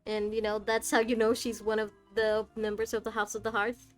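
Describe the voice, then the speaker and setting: A woman's voice: a little high, completely flat delivery, a little fast. Chatty woman streamer over faint music. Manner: chatty, musing aloud in questions. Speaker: game streamer. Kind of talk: casual live gameplay commentary. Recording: faint game music behind.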